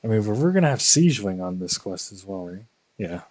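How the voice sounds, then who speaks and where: A young man with a husky voice giving casual, slangy live commentary on a game, musing to himself. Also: lowish voice, very animated, brisk, darkish tone. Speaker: game streamer.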